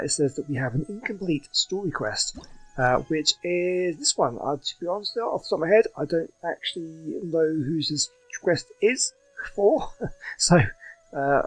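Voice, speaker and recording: Chatty higher-pitched man narrating gameplay. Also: fairly bright tone, rough recording. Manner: rambling, chatty, hesitant. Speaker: gaming video host. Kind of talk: casual gameplay commentary.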